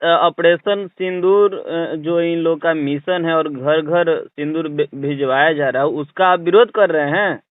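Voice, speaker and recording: A man's voice: fairly high pitch, measured pace, few pauses, dry recording. A man from India working as a journalist, asking leading questions in a phone interview. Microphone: phone call. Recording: muffled lo-fi phone call recording.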